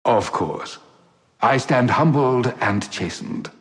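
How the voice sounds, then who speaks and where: An actor playing a suave, cowardly gentleman rogue in a video game, glib, florid and theatrically polite. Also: mid-range voice, lively intonation, takes it slowly. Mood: coy, glib. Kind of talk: video game character acting. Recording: long hall reverb.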